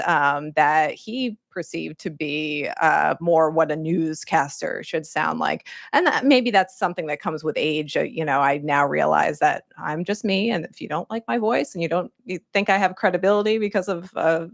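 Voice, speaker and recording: A woman's voice: deep voice, no echo, studio quality. A middle-aged woman journalist on a conference panel, telling personal stories in a reflective way with many fillers. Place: conference room.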